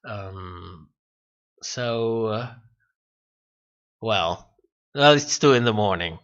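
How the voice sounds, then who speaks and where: A man's voice: fairly low pitch, lively intonation, very slow, fairly bright tone, lo-fi sound. A man hosting a casual livestream, answering viewer comments in a rambling way and repeating phrases as he thinks aloud. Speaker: livestream host.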